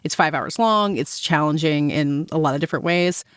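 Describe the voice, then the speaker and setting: A woman's voice: low voice, normal speed, no room sound, clean studio sound. A woman co-hosting a casual film awards discussion, talking in a chatty, analytical, conversational way. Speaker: podcast co-host.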